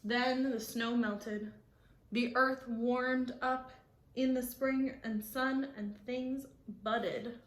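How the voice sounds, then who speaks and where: A woman reading a picture book aloud to children, expressive, with explanatory asides for young listeners. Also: on the high side, drawn-out words, reverberant, poor audio. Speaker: read-aloud storyteller.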